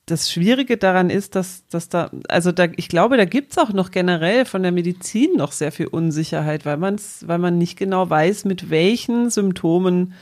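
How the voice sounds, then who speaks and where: A woman's voice: lowish voice, normal speed, few pauses, darkish tone. A woman, explaining things in a casual, colloquial way as a co-host. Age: 40s. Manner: informal, colloquial with some English slang.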